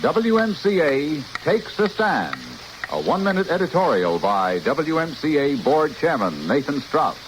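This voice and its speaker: A man's voice: a little high, very animated, a little slow. An American man making a scripted station announcement in a 1960 radio style. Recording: old radio recording with faint hiss.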